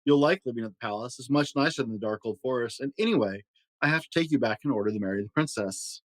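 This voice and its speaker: A man voicing a gentle, kind-hearted young prince in a storybook, earnest and soft-spoken. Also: medium pitch, fast, dry recording, close to an everyday voice, low-quality recording. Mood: gently reassuring. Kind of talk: storybook character reading.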